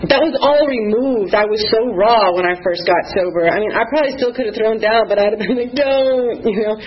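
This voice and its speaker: Candid woman telling her recovery story. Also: medium pitch. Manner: candid, animated, acts out quotes. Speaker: speaker sharing a recovery story. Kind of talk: personal testimony to a group. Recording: dull lo-fi recording, faint noise.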